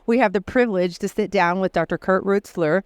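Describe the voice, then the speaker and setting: A woman hosting an interview podcast, speaking in a clear, smooth voice. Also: medium pitch, a little fast, dry recording. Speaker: podcast host.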